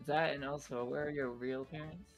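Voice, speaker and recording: A man with a creaky voice, reading dialogue in a group fan dub of a video game. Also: on the high side, fairly even intonation, moderate pace. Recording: prominent game music behind.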